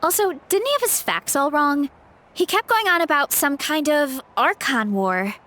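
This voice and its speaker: A woman's voice: high-pitched, lively intonation, normal speed, bright, forceful tone, a little hiss or hum. A woman playing an earnest, mild young heroine in a video game, speaking straightforwardly. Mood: puzzled. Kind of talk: animated video game acting. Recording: faint game music behind.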